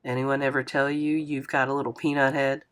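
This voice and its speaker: A woman's voice: very deep voice, flat delivery, brisk, voice pushed down. A woman doing a teasing older grandfather. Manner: dry teasing, fatherly.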